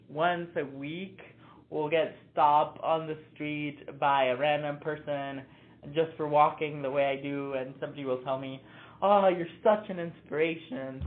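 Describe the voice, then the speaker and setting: A young man raised in Mexico talks through his answers earnestly, pausing often on fillers and restarting his sentences. His voice sits a little high. The sound is thin and tinny, like a phone line. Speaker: interview guest, nonprofit founder. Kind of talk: casual interview answers.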